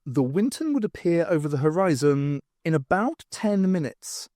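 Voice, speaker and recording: A man's voice: a little high, big pitch swings, normal speed, warm tone, no room sound, clean studio sound. A man reading scripted fiction aloud as an audiobook narrator.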